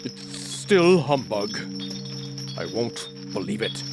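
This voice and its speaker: An actor playing a bitter, skeptical but frightened old Victorian miser in an audio drama, husky and theatrical, curt or pleading. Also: male voice, on the low side, sing-song, slow pace, music in the background, full character voice. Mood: wary disbelief.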